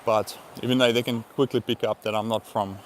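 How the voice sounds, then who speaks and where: A man's voice: lowish voice, steady tone, brisk, slight background noise. A man from Eastern Europe with an Eastern European accent in English, chatting casually on a live stream, rambling with many fillers and frequent laughs. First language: Russian. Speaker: vlogger.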